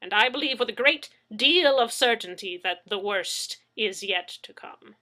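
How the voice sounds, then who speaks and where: Woman doing a loud, lofty old street eccentric, high and bright. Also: lively intonation, measured pace. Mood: grandiose. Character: eccentric older male street dweller, grand and wordy.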